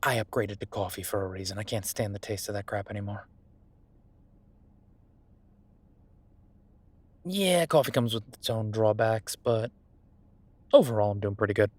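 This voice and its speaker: A man's voice: fairly low pitch, lively intonation, fairly quick, darkish tone. A man playing a friendly, self-made CEO and old college friend in a roleplay audio drama, talking casually with stammers and self-deprecation. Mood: relaxed, reflective. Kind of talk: intimate one-sided roleplay audio drama, conversational.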